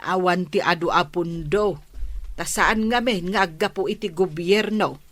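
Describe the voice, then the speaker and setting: A woman's voice: lowish voice, a little slow, fairly bright tone, dry recording. A woman with an Ilocano accent playing a patient, earnest village captain's wife in a radio comedy drama. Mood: patient, explaining.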